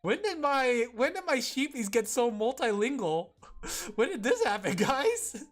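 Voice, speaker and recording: Guy streamer rambling and giggling at chat, soft music in the background. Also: extremely high pitch, big pitch swings, measured pace. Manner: giggly, rambling, many fillers.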